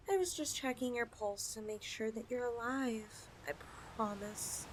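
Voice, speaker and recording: A woman's voice: fairly high pitch, darkish tone, a little hiss or hum. A woman playing a possessive, teasing snake girl in an ASMR audio roleplay, with a coy, cooing delivery. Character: possessive, teasing young yandere lamia girl, half snake.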